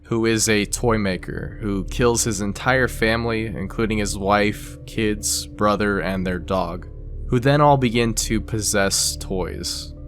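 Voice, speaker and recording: A man narrating a scripted explainer in a conversational way, with casual asides. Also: fairly low pitch. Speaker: video essay narrator. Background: background music.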